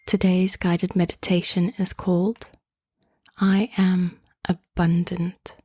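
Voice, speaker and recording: A woman guides a meditation in a hushed voice that keeps slipping into a half-whisper. Her tone is mellow and low-set, and it stays very even in pitch. She speaks slowly and gently, inviting the listener along. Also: husky, no room sound, studio quality. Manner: soft, hushed, often half-whispered delivery; gentle, inviting, unhurried phrasing.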